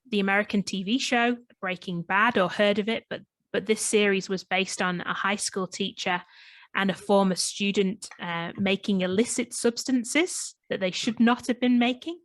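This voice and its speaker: A woman's voice: mid-range voice, fairly even intonation, moderate pace, no echo. A woman from Northwest England, her accent touched by an Australian twang, giving a friendly online talk with many ums and restarts. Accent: Northwest English, between Manchester and Liverpool, slight Australian twang. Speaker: chemist and guest presenter. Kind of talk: online presentation. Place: home in lockdown, video call.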